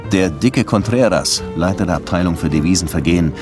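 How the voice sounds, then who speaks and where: Casting: man playing world-weary investigator, bass-leaning voice, understated first-person radio drama delivery over music. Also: brisk, natural voice. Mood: brooding narration. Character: weary gruff police detective in Havana, narrating his own case. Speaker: lead actor and first-person narrator. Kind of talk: German radio drama acting and narration.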